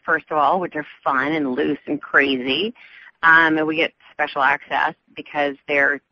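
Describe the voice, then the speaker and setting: Chatty woman with soft Southern accent. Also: low-pitched, flat delivery, moderate pace, lo-fi sound, slight background noise. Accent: Southern US, softened. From American South. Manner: chatty, upbeat, laughs often, many fillers. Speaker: entertainment news reporter, guest. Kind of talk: radio interview.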